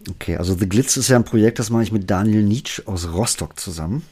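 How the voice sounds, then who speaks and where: A man's voice: fairly low pitch, quick pace, mellow, slightly rough. A man with a Berlin accent, a music producer chatting casually in an interview, rambling with many fillers.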